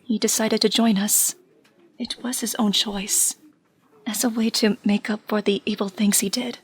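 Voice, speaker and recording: Woman acting husky, earnest young female superhero. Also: medium pitch, level tone, moderate pace, close to an everyday voice. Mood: pleading, sad. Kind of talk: audio drama acting.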